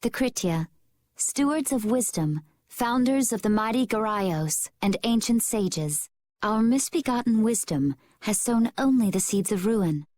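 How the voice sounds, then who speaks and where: A woman's voice: fairly high pitch, a little mellow, no room sound. Earnest young woman. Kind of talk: video game voice acting.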